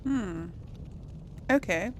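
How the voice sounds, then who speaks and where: A woman's voice: medium pitch, animated, very slow, a little hiss or hum. A woman hosting a gaming video with casual commentary, often muttering to herself hesitantly as she talks to viewers. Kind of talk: casual game commentary, talking to the audience.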